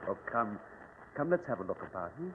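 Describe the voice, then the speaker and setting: Lively young husband, tinny old radio. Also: male voice, on the low side, brisk. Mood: reassuring, coaxing. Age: young adult. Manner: hesitant stammering, dramatic. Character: young adventurous bridegroom, breezy, coaxing, protective. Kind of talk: old-time radio drama acting. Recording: thin lo-fi old radio recording, hiss.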